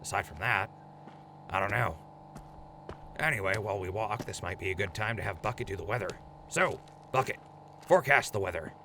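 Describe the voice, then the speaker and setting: A man's voice: fairly low pitch, lively intonation, fairly quick, vocal fry, pauses often, background noise. A man playing a casual, joking leader of a mercenary crew in a post-apocalyptic audio drama. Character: talkative, wisecracking wasteland mercenary crew leader.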